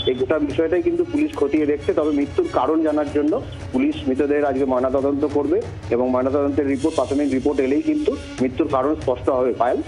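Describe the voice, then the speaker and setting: A man with a West Bengal Bengali accent giving an unscripted field report by telephone, halting, repetitive and emphatic. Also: on the high side, fairly even intonation, mellow, soft background music. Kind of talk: unscripted live news report. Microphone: telephone link to the studio.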